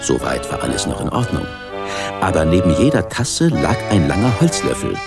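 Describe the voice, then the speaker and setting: A man's voice: low voice, huge pitch swings, moderate pace, vocal fry. An older man narrating a children's radio play in a wry storytelling manner. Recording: accordion music under narration.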